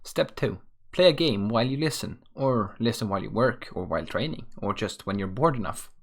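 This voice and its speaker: A man's voice: on the low side, expressive, fairly quick. A young man from Sweden with a Swedish accent, reading a self-mocking solo rant from a script as host of his own show. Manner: offhand, self-mocking, reads script aloud. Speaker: podcast host.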